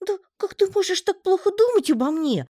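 A woman's voice: medium pitch, big pitch swings, a little mellow, no room sound, clean studio sound, voice pushed up. A woman voicing a tiny, lonely male spider who talks in a plaintive, pleading way for an audiobook. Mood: indignant. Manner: plaintive, pleading, quick to take offence. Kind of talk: audiobook reading.

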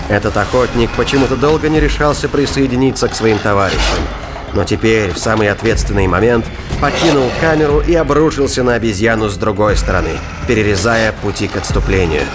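Male narrator over loud dramatic music. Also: on the low side. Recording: loud music and effects behind. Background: music, sound effects.

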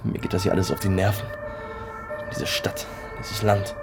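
A man's voice: on the low side, huge pitch swings, moderate pace, creaky, loud music behind the voice, heavily acted. An actor playing a sullen, sarcastic and jaded younger man in a radio crime drama. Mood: irritated. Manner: sarcastic, dismissive.

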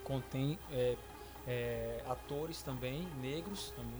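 A man co-hosting a casual film podcast, speaking haltingly with many fillers. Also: medium pitch, over music. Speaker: podcast co-host. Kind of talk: casual movie chat.

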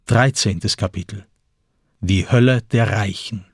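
A male narrator reads literary prose for a radio crime play. His voice is low and markedly husky. The recording is very dry and close. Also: slow pace, darkish tone, no echo.

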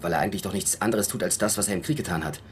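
An actor playing a frank young American army lieutenant in a radio drama, deferential and matter-of-fact. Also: male voice, sits low, racing, slight background noise, natural voice. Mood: frank, guarded. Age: young adult.

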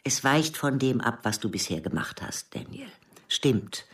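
Spy chief in her sixties, very deep female voice, measured, coolly persuasive, radio drama, slightly distant with faint music. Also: lively intonation. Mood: gently persuasive. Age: older. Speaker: actor in radio play. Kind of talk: German radio drama dialogue.

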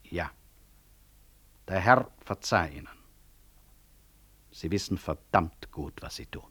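Sardonic, low-voiced hospital director. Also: male voice, animated, slow, pushed and bright, slightly rough, exaggerated voice. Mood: biting sarcasm. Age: middle-aged. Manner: dry, sardonic, dignified. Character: proud, ironic male hospital director and professor. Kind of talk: 1960s German-language radio play acting.

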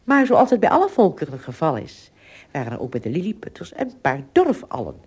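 A woman narrates a radio play as a storyteller. Her husky voice sits slightly low and moves very widely in pitch, giving the narration a dramatic, expressive shape. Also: a little fast.

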